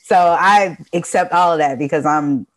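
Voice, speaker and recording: A woman from the American South with a Southern accent, a podcast guest talking in an expressive casual chat and laughing easily. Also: lowish voice, moderate pace, low-quality recording.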